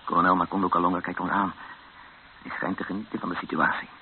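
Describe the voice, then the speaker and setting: A tense, sober male captive speaks low and earnestly, as the witness at the centre of a radio play. The sound is thin and tinny, like an old broadcast, with clear noise. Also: level tone, fast talker. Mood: sober, tense recounting. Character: tense captive Dutchman, a witness recounting his ordeal. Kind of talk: first-person radio drama narration and dialogue.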